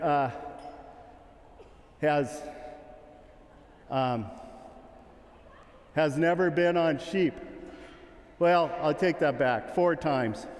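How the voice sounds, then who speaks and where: A man's voice: on the high side, darkish tone. A middle-aged man giving a casual, joking sheepdog training demonstration in a large indoor arena. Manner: casual, joking, calls commands to his dog. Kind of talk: live demonstration talk. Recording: echoing arena, long reverb.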